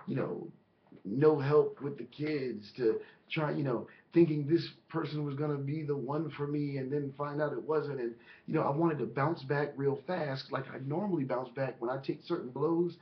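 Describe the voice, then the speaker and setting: A man in his thirties, a minister, talking earnestly and reflectively about his own life, rambling with restarts and fillers. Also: medium pitch. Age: 30s. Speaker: minister and vlogger. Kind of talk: reflective personal monologue. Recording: slightly dull lo-fi audio.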